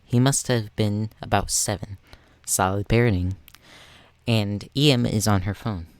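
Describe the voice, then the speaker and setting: A man's voice: lowish voice, a little slow, smooth, dry recording. A thirteen-year-old boy reading an online story aloud as the narrator of a video.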